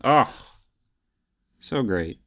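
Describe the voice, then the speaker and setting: A man's voice: mid-range voice, expressive, very slow, bright, forceful tone. A man co-hosting a casual podcast, chatting in a teasing, emphatic, slangy way. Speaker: podcast co-host. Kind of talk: casual banter about games and shows.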